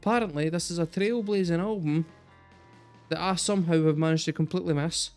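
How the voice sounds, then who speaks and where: A man's voice: fairly high pitch, a little fast, a little mellow. A Scottish man hosting a music reaction video, chatting casually and swearing freely. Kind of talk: casual chat to camera.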